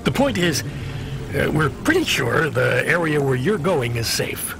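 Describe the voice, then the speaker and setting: A man's voice: a little high, big pitch swings, a little slow, vocal fry, noisy background, exaggerated voice. An actor playing a weary, avuncular dispatcher in a video game, hesitant and folksy as he hedges awkwardly. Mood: evasive, businesslike.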